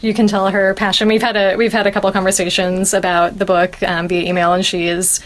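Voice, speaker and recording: A woman's voice: medium pitch, level tone, fast. A young woman hosting a podcast interview, speaking enthusiastically and laughing often. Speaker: interviewer host.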